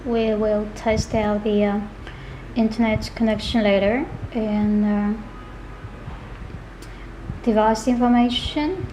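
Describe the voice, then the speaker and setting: Woman, product demonstrator, unhurried halting delivery, mellow tone, item-by-item walkthrough, light background noise. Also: mid-range voice, fairly even intonation, rough recording. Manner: halting, listing items one by one. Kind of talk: unscripted product demo walkthrough.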